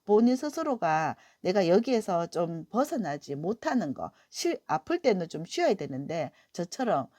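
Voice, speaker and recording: A middle-aged woman talks through a weekly tarot reading, her voice hoarse from a cold. She sounds low and mellow, easing along with soft fillers and warm encouragement. The recording is close and dry. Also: very animated, moderate pace, few pauses, no echo. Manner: gentle, encouraging, many "eo" fillers. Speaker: tarot reader.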